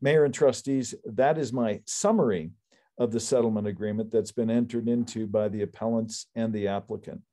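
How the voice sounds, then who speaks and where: An older man speaking as an attorney at a public hearing over a video call, giving a formal, prepared summary in a measured, deliberate way. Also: on the low side, mellow. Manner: measured, deliberate, enumerating points. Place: indoor room on a video call. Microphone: computer video call microphone.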